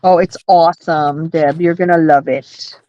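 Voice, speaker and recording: A woman's voice: low voice, fairly even intonation, unhurried, dark tone. A woman chatting casually as a co-host, chiming in agreeably and informally, on slightly lo-fi audio. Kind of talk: casual chat.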